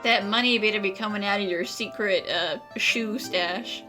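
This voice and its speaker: A woman's voice: mid-range voice, normal speed, over music. A woman gaming streamer giving casual playthrough commentary with wry, sarcastic asides.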